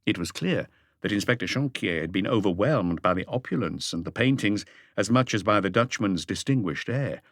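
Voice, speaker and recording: A man's voice: on the low side, lively intonation, normal speed, dry recording, studio quality. A man reading scripted narration from a mystery audiobook, voicing dialogue as he goes.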